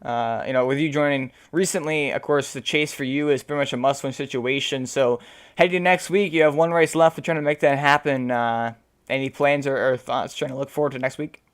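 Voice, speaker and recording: A man with a hoarse, fading voice interviewing sim racing drivers after a race, rambling with many fillers. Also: medium pitch, steady tone, fairly quick. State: losing his voice.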